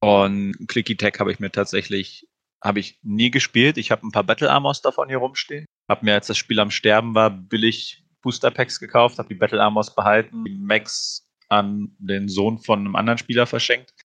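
A man as a podcast guest, relaxed and wry as he tells stories. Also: lowish voice, normal speed, no echo. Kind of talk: casual chat.

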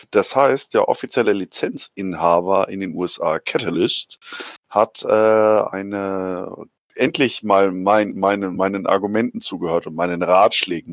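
A man with a hoarse voice chatting casually on a podcast, excited and joking as he rambles. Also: on the low side, dry recording. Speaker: podcast co-host. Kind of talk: enthusiastic casual chat.

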